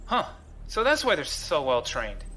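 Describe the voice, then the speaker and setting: A man playing an eager, naive young crewman in a space audio drama, with an excitable, boyish manner. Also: on the high side, measured pace, slight background noise, exaggerated voice. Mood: thoughtful.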